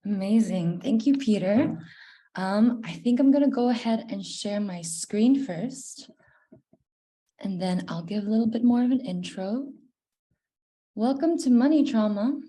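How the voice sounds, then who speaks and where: A woman's voice: medium pitch, a little slow, warm tone. A woman in her twenties from Malaysia giving an informal, reflective presentation over a video call. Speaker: guest presenter, researcher. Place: living room at home, indoors. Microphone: video call, laptop.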